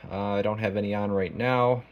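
A man giving a casual product demonstration as a technician, thinking aloud in a halting, informal way. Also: fairly low pitch, steady tone, lo-fi sound. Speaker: radio technician. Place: small indoor electronics workshop.

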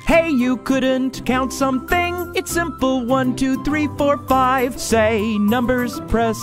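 Casting: a perky little calculator creature for a kids' cartoon, high and sing-song, over prominent music. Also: female voice, slow. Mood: perky, showy. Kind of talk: children's cartoon dialogue with sing-song counting.

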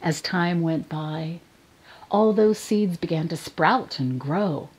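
An older woman telling a story to young children in a playful, expressive manner, addressing them directly. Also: on the low side, takes it slowly, slightly rough. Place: indoors in front of a curtain, filmed for online program.

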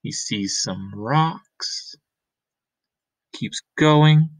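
A man in his thirties narrating a picture story in short, halting descriptive phrases, as a teacher reading aloud. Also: medium pitch, lively intonation, dry recording. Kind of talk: simple read-aloud narration with commentary.

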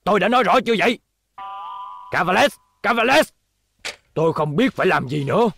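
A man's voice: high voice, sing-song, a little fast, creaky, fairly bright tone, a little hiss or hum, exaggerated voice. A man dubbing an elderly, authoritative and exasperated professor in a cartoon, speaking emphatically. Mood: stern, scolding. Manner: stern, emphatic, explanatory.

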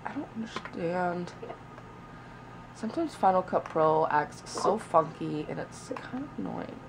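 A woman's voice: fairly low pitch, lively intonation, a little slow, background noise. A young woman vlogging casually from her bedroom, muttering to herself and swearing while she works, recorded on a camera held close. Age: young adult. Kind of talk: casual vlog chat. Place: small bedroom indoors by a window.

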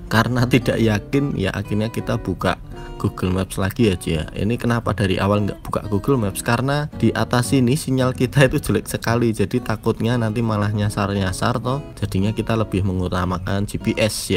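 A man giving calm, measured voice-over narration about a motorbike trip. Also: on the low side. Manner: measured storytelling, explains the route. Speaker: vlog narrator.

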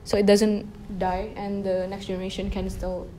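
A woman's voice: medium pitch, little pitch movement, normal speed, dark tone, echoey room, slight background noise, low-quality recording. A young woman from Indonesia giving hesitant interview answers full of fillers, indoors by a projection screen. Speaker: interviewee, design student.

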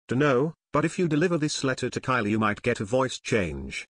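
A man's voice: medium pitch, big pitch swings, a little fast, no room sound, clean studio sound. A man voicing a comedic meme video with a playful scripted delivery.

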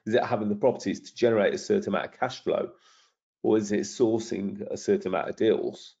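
A middle-aged man with a croaky, gravelly voice, presenting a property investment webinar in a persuasive, self-promoting way and putting questions to the audience. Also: on the low side, a little fast, warm tone. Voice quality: gravelly, husky. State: croaky from lingering illness. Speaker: property trainer hosting a webinar. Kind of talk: webinar presentation over slides. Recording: dull lo-fi webinar audio.

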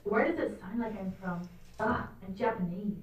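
A woman's voice: mid-range voice, expressive, normal speed. A young woman performing in a student comedy sketch, making sarcastic asides on a stage. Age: young adult. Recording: roomy, distant, audible background noise.

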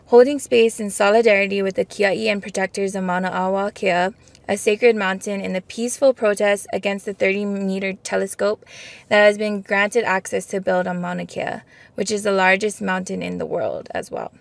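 A woman's voice: medium pitch, moderate pace, creaky, no echo. A woman from Hawaii hosting an interview and asking conversational questions. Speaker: host. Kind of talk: casual interview.

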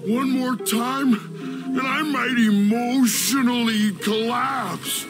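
A man voicing a huge, slow-witted, plaintive stone bridge troll in an animated fantasy game. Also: extremely high pitch, full character voice. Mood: wounded, sentimental. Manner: plaintive, talks of himself in third person. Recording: music behind the voice.

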